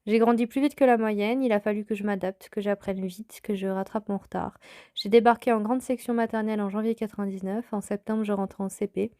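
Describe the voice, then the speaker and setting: Calm woman with a flat, mellow voice. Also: mid-range voice, a little fast, no echo, clean studio sound. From France. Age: 30s. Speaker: podcast host. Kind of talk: personal storytelling podcast.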